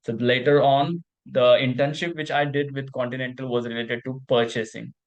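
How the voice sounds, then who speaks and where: A man's voice: medium pitch, steady tone, moderate pace, lo-fi sound. A young man hosting a casual interview, asking long, rambling questions with loose grammar and frequent restarts. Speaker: interview host.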